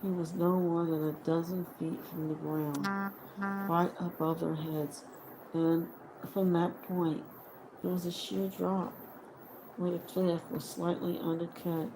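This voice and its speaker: Slow, low-voiced woman reading fiction. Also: flat delivery, background noise. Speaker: audiobook reader. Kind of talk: dramatic scripted fiction narration.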